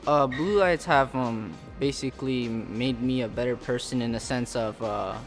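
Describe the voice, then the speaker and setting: Young man over a loud music bed. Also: medium pitch, normal speed. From Peru, raised in Miami. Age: young adult. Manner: earnest, searching with many ums. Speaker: college freshman athlete. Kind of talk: recorded player interview.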